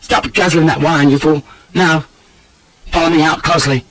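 A man voicing a sharp, imperious, angry woman in a storybook, giving clipped orders. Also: on the high side, steady tone, moderate pace, voice pushed up. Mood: commanding, contemptuous.

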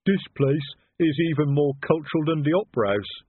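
A man voicing a big, slow-witted troll who speaks earnestly and ungrammatically, proud of his culture, in an audiobook. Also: on the high side, expressive, breathy edge, no room sound, narrow, tinny audio, voice pushed up. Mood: impressed. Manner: ponderous, uneducated, ungrammatical speech. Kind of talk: audiobook character voice.

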